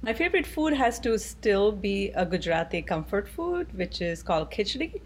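A woman's voice: mid-range voice, big pitch swings, moderate pace. A middle-aged woman from India, answering interview questions thoughtfully over a video call with frequent ums. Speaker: guest.

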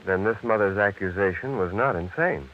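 An actor playing an earnest, probing young scientist and husband, in 1930s radio drama style. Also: male voice, on the low side, normal speed, natural voice. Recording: dull, hissy vintage radio recording.

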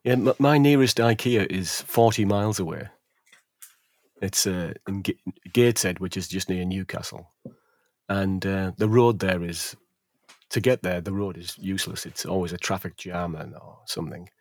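A man hosts a relaxed podcast conversation, pausing often with fillers as he goes. His voice sits fairly low, and the sound is dry and close with little room to it. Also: no room sound. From England. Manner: relaxed, hesitant, with frequent fillers. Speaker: podcast host. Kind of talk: casual chat. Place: at home near some furniture.

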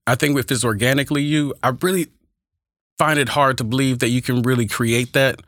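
A man's voice: medium pitch, steady tone, fairly quick, a bit bright, no room sound, studio quality. A man with an Oakland accent and a slight stutter and lisp, telling stories earnestly as a speech and debate coach being interviewed. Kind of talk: conversational interview. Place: small room.

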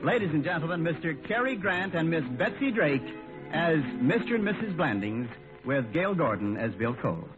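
Animated male 1950s radio announcer. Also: a little high, moderate pace. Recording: tinny 1950 radio recording over music.

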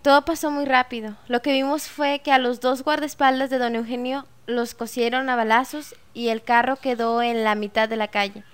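A woman's voice: fairly high pitch, steady tone, moderate pace, hardly any pauses, a little hiss or hum, natural voice. An actress playing a woman shopkeeper with a Mexican accent, recounting what she witnessed step by step in a radio drama. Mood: grave recollection. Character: woman shopkeeper recalling a fatal shooting as eyewitness.

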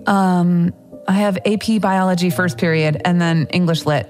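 A woman's voice: lowish voice, steady tone, normal speed, a little mellow, soft background music, natural voice. A woman voicing a guarded, dismissive teenage new girl in an audiobook story, reserved and blunt. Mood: reluctant.

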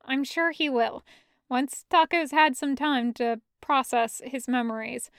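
A woman voicing a gentle, concerned man in an audio story, speaking carefully and with some hesitation. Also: sits high, measured pace, clear, resonant tone, no room sound, studio quality. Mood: reassuring.

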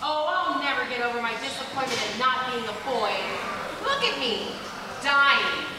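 A woman's voice: sits high, very animated, normal speed, crowd noise. A woman playing a bold, ambitious tomboy teenage sister in an old-time radio drama, theatrical and blunt. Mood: dramatic self-pity. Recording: very echoey hall, distant mic, noisy.

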